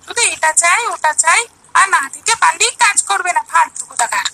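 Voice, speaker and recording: A woman voicing a very high, plaintive village boy. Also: expressive, fairly quick, vocal fry, sharp tone, slight background noise, exaggerated voice. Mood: indignant. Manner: plaintive, complaining. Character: aggrieved poor village boy, earnest and indignant. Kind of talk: Bengali cartoon story dialogue.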